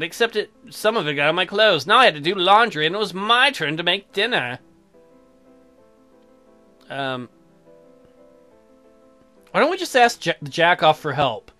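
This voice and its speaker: Male let's player, profane and sarcastic. Bright, lively delivery over game music. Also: sits high. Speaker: gaming video host. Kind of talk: casual let's play commentary, reading game text aloud. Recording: music behind the voice.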